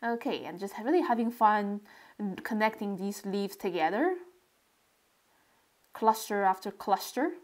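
A woman narrating a relaxed drawing tutorial step by step, describing what she does as she draws. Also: medium pitch, a little slow, pauses often. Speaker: sketching tutorial host.

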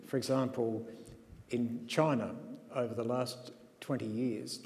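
A man's voice: mid-range voice, mellow. A man speaking on a conference panel, hesitant with frequent restarts and fillers, recorded on a stage in a large hall. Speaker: panelist demographer. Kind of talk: panel discussion. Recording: long hall reverb, faint room noise.

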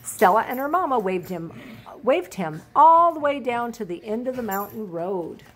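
A woman's voice: a little high, big pitch swings, moderate pace, fairly bright tone, slightly rough. An older woman storyteller reading a children's picture book aloud. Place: indoors, at a desk at home.

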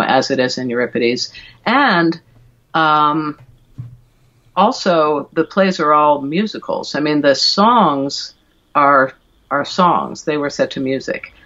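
A middle-aged woman explaining things thoughtfully and haltingly as an interview guest, over a video call. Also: a bass voice, fairly bright tone. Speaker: guest, verse translator and classicist. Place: indoor room at home, video call. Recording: dull, lo-fi video call audio.

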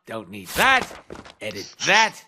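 An actor voicing a manic, foul-mouthed, wisecracking mercenary antihero in a video game, brash and goofy. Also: male voice, medium pitch, wildly swooping pitch, slow, a little hiss or hum, full character voice. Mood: sardonic. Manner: brash, goofy, swooping from mutters to shrieks. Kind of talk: video game cutscene voice acting. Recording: loud music and effects behind.

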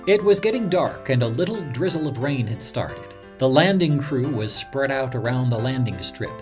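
A man's voice: medium pitch, lively intonation, normal speed, music in the background, lightly acted. A man playing an earnest radio reporter, dramatically recalling a disaster he witnessed, in a first-person story. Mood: grave recollection. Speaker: narrator of a radio reporter's memories.

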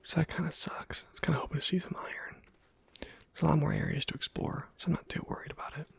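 A man giving ASMR gameplay commentary in a soft half-whisper, musing casually to himself in a hushed way. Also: on the high side, steady tone, fast talker, gravelly, breathy edge, no echo. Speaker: video game streamer.

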